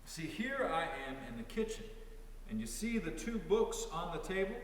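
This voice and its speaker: A man's voice: high-pitched, big pitch swings, moderate pace. Man reading as an old storyteller, very echoey. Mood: folksy storytelling. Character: elderly devout male woodcarver telling stories to a boy. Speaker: reader in a dramatic story reading. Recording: very echoey hall, distant, faint noise.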